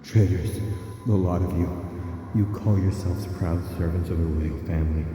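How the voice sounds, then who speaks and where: A man voicing a proud, vengeful, anguished demon royal in an audio drama, declaiming bitterly and haltingly through a reverb effect. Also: low-pitched, fairly even intonation, music in the background. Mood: furious, contemptuous. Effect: heavy reverb of a memory scene. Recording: long echoing reverb, dull sound, faint noise.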